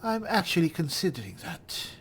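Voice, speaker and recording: A man thinking aloud in character as he plays a roleplaying game, measured and deliberate, often muttering to himself. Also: fairly high pitch, sing-song, fairly bright tone, slight background noise. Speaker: gamer narrating a roleplay playthrough.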